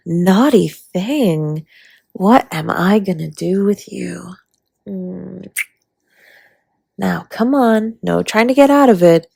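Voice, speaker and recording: Woman playing a flirty dragon baker wife in romance roleplay, slow n husky, teasing, kinda gravelly. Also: fairly low pitch, lively intonation, darkish tone, dry recording, studio quality, heavily acted. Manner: teasing, intimate, often slipping into breathy whispers. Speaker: voice actor in roleplay audio. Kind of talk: romantic roleplay audio, ASMR-like.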